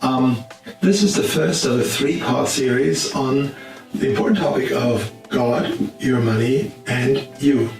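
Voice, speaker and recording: A man's voice: mid-range voice, unhurried, heavy reverb, distant mic, over music, rough recording. A man with a German accent teaching earnestly and plainly about money and faith from his office, often restarting his sentences. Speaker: Bible teacher. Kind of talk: informal teaching talk to camera.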